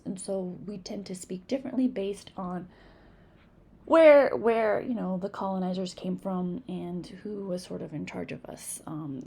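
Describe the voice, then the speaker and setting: A woman's voice: medium pitch, vocal fry, dark tone, husky. New England woman teaching phonics. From Massachusetts. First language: Spanish. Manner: patient, encouraging, models sounds slowly. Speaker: reading teacher. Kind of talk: instructional video lesson.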